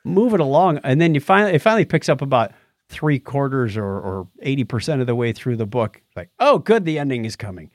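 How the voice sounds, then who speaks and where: A man's voice: medium pitch, sing-song, fast, no echo, studio quality. A man co-hosting a podcast in a casual, conversational style. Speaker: podcast co-host. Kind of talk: casual conversational chat and commentary.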